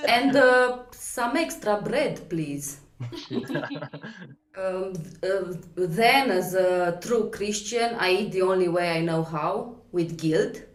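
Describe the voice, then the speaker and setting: A woman in her thirties from Romania with a Romanian accent, doing a stand-up set over a video call from home, dry and unhurried, laughing at her own jokes. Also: mid-range voice, lively intonation, roomy sound. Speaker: stand-up comedian. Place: home, at night.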